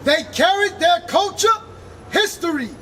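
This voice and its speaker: A man reading aloud from a book outdoors on a city street, in a steady, careful way. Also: very high voice, a little slow, a little hiss or hum. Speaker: reader.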